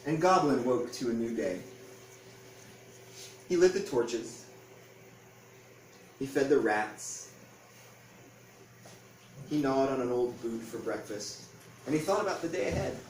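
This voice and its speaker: Animated male storyteller in an echoey room. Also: mid-range voice, takes it slowly, pauses often. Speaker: author reading his book aloud. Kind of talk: animated picture-book storytelling to an audience. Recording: echoey room, distant mic, faint noise.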